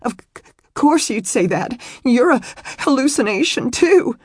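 A woman's voice: sits high, expressive, unhurried, a little mellow, breathy edge, dry recording, studio quality, voice pushed up, heavily acted. A woman voicing a distraught young woman in an audiobook, crying and stammering through choked, hiccuping breaths. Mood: miserable, hysterical. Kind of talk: audiobook character acting.

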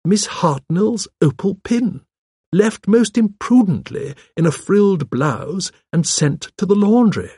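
A man voicing a gentle, shrewd elderly spinster, prim and musing, in an audiobook. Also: sits high, lively intonation, slow pace, husky, no echo, studio quality, pitched above the performer's own voice, heavily acted. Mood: musing, gossipy. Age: older. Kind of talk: audiobook character dialogue.

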